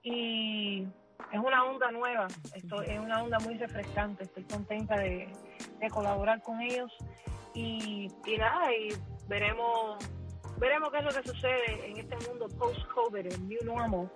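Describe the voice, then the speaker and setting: A woman in her thirties, a musician whose first language is English, talking warmly in a radio interview and often repeating words as she finds them. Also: a little high, fairly even intonation, measured pace, over music, low-quality recording. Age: 30s. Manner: warm, chatty, repeats words while finding them. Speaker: musician guest.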